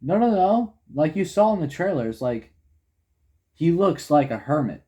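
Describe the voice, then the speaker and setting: A young man co-hosting a casual movie review chat, loose and excitable, with restarts and the odd swear word. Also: fairly high pitch, a little slow, breathy edge. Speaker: co-host of a movie review channel.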